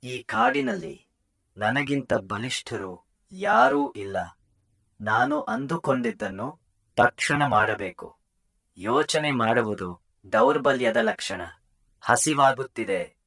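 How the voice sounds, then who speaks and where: A man's voice: medium pitch, big pitch swings, slow, vocal fry, long pauses, a bit bright, heavily acted. A man voicing a boastful, powerful, impatient tiger in a children's moral story, with a commanding, dramatic way of talking.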